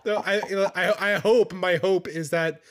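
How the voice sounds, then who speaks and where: A man's voice: sits high, steady tone, unhurried, fairly bright tone. A man hosting a casual podcast with friends, joking and laughing as he talks. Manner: joking, laughing while talking. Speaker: podcast host. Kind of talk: casual chat.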